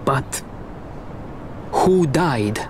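A man's voice: mid-range voice, expressive, very slow, slight background noise. A young man playing an earnest, humble Italian resistance fighter in a video game, hesitant, with an Italian accent. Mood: grieving, bewildered. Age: young adult.

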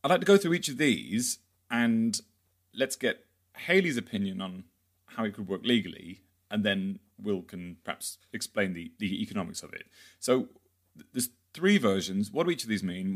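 A man's voice: lowish voice, a bit bright. Hesitant man hosting a podcast. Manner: hesitant, repeats words, many fillers. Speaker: podcast host. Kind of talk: podcast discussion.

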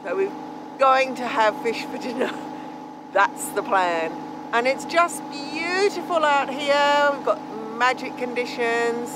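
A middle-aged woman vlogs from the deck of a sailboat, holding her phone at arm's length. She talks in a bright, slightly high voice and laughs easily. Wind, water and engine sounds are clearly audible behind her. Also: animated, takes it slowly. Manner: cheerful, laughs often, chatty. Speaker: sailing vlogger. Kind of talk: casual vlog chat. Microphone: phone selfie at arm's length.

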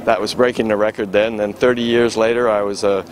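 An elderly man in his eighties, talking casually and haltingly with many fillers and chuckles, interviewed at an outdoor city marina on a handheld mic. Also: lowish voice, little pitch movement, background noise. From Florida. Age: 80s. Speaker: sailing school owner. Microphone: handheld microphone held by interviewer.

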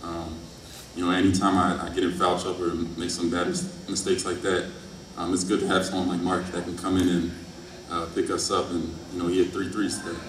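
Deep, flat-voiced young man, echoey. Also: brisk. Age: early 20s. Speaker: college basketball player. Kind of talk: press conference answers. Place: press conference room, indoors. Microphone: table microphone on stand. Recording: echoey room, distant mic, faint noise.

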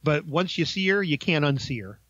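A man reviews a film in casual, informal chat, his voice on the higher side. He rambles and hesitates as he goes. The sound is dry and a little dull. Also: expressive, a little fast, fairly bright tone, no echo.

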